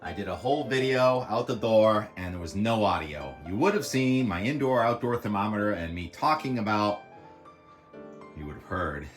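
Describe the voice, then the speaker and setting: A man with a loud voice, chatting casually about the weather outdoors, rambling with lots of fillers, recorded on a camera mic with no lavalier. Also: on the low side, lively intonation, moderate pace, faint music behind. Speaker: weather vlogger. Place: outdoors by the water in the morning.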